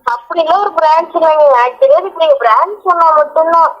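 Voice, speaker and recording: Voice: extremely high pitch, quick pace. A speaker arguing on the phone, protesting and asking the same questions again. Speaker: prank call recipient. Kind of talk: argumentative phone conversation. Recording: dull lo-fi phone call.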